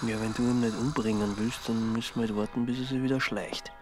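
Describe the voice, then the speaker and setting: A man's voice: mid-range voice, steady tone, brisk, a little mellow, close to an everyday voice. An actor playing a hapless, hungover young Austrian man in a radio drama, speaking plaintive colloquial dialect. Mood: pleading, conspiratorial.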